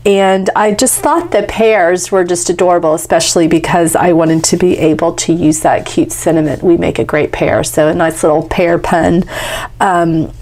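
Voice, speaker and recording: A woman's voice: on the low side, steady tone, normal speed, a little mellow. A young woman with a congested, stuffy nose, chatting casually through a craft tutorial with lots of fillers. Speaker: craft demonstrator.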